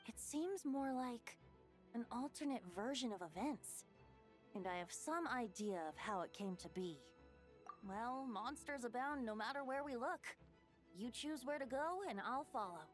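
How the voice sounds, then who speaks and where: A woman's voice: a little high, animated, a little slow, soft background music. A woman playing a youthful, breezy yet thoughtful boy bard in a video game. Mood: grave, thoughtful.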